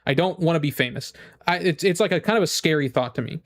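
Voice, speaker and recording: A man's voice: on the high side, animated, fast. A man streaming casually to his viewers, rambling and repeating himself as he gives emphatic opinions in fan-rant style. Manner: rambling, with frequent "like" and repeated phrases. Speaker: game streamer.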